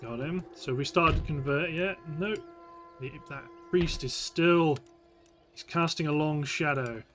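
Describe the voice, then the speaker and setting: A man's voice: a little high, measured pace, fairly bright tone, faint music behind. A British man giving casual let's play commentary on a strategy game, thinking aloud in short remarks. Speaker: gameplay host.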